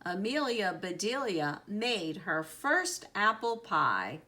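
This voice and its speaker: A kindly storybook grandmother, voiced by a woman reading aloud, affectionate and full of instruction. Her bright voice swings up and down expressively, with slow, emphatic words. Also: medium pitch, sing-song, exaggerated voice. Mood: cheerful. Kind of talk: children's storybook character reading.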